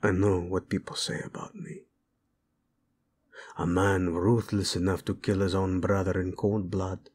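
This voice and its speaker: A male voice actor playing a brooding young warlord prince who speaks softly and often drops to a whisper to his bride. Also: low-pitched, flat delivery, unhurried, slight background noise. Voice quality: husky, soft-spoken, often drops to a whisper. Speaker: audio roleplay voice actor. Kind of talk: audio roleplay acting.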